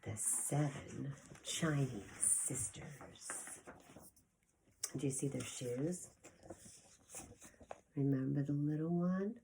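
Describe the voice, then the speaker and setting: A woman preschool teacher reading a story aloud to young children, expressive and deliberate, with a breathy voice that often drops toward a whisper. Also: sits low, slight background noise, rough recording. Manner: addresses children directly, expressive with gasps and sound effects.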